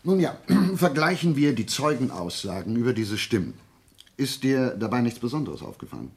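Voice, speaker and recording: An actor playing a brilliant, self-assured amateur detective in a German radio drama, lecturing in a deliberate, musing way. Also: male voice, mid-range voice, big pitch swings. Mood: methodical. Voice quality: gravelly, husky.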